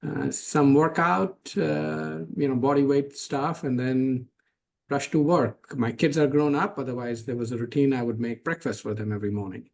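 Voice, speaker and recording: A man's voice: mid-range voice, steady tone, moderate pace, creaky. A middle-aged man on a webinar panel, talking earnestly in a casual way, often correcting himself and using many fillers. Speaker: startup CEO on a panel.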